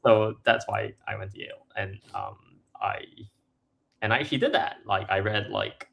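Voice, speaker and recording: A young man from Singapore chatting as a podcast guest. His voice sits a little low and has a gravelly edge. He stacks fillers and restarts sentences as he thinks aloud. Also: moderate pace, fairly bright tone. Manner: filler-heavy, restarts often, laughs between points. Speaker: podcast guest, startup co-founder. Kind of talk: casual interview chat.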